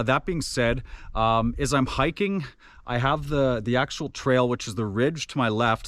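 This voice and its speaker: A man with a naturally loud voice giving casual commentary outdoors on a mountain trail, relaxed, with many filler uhs. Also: medium pitch, no room sound. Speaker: trail running vlogger. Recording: music playing behind the voice.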